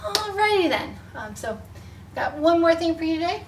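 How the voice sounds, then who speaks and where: High-voiced woman hosting children's storytime. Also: moderate pace. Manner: expressive, simple explanations for young children. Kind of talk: picture-book read-aloud and craft talk for preschoolers. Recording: distant mic with faint background noise.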